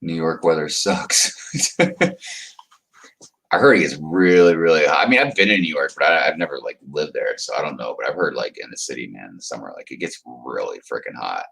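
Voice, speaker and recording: A man's voice: extremely low pitch, lively intonation, fairly quick, creaky, fairly bright tone. A man hosting a livestream, chatting casually with viewers and reading their comments aloud as he rambles. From United States. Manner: rambling, chuckling, talks to viewers by name. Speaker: livestream host reading chat. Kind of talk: casual chat.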